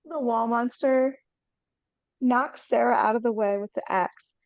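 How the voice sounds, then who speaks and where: A woman's voice: fairly high pitch, measured pace, darkish tone, no echo. A woman reading the stage directions aloud in plain, matter-of-fact narration. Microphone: video call. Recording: dull dry video call audio.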